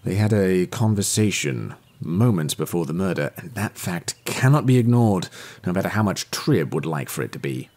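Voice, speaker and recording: An actor playing a brisk, earnest police detective in an audio drama, speaking formally with wordy, precise diction. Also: male voice, low-pitched, lively intonation, a little mellow.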